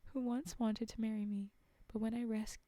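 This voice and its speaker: A woman's voice: fairly high pitch, level tone, moderate pace, mellow, no room sound, natural voice. A woman reading aloud the part of a haughty, demanding young princess in a fairy tale, in formal storybook phrasing. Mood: imperious, aggrieved.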